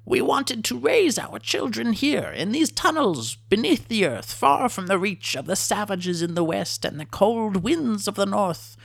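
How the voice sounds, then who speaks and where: A tiny scaly kobold sorcerer, with a very high, pinched voice and an odd, strained tone. He talks slowly and solemnly in stiff, broken phrases, proud and wary at once, like a creature in a spoken fantasy storybook. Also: sing-song, gravelly, no room sound, clean studio sound, heavily acted. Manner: halting, grave, formal broken speech. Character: small male kobold sorcerer, proud and fearful.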